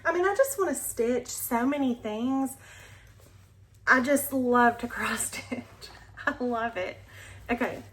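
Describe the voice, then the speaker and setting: A woman with a slightly high voice chats slowly and warmly about her stitching projects, full of delight. She drifts between bright exclamations and hushed, almost whispered asides. A faint hum of room noise sits under her voice. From Alabama, US. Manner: chatty, enthusiastic, often drops to a near whisper. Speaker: cross-stitch channel host. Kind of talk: casual show-and-tell chat.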